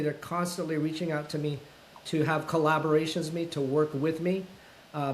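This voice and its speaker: Fifties male guest, fairly high voice, hesitant and thoughtful, lots of ums and restarts. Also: slight background noise, poor audio. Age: 50s. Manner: self-described introvert. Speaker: guest, full-time content creator. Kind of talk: casual interview conversation.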